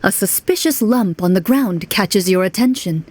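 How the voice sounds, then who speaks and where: A woman playing a composed, formal young huntress who narrates as game master in a video game, with measured storytelling. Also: mid-range voice, animated, a little mellow, breathy edge. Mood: dramatic. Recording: music behind the voice.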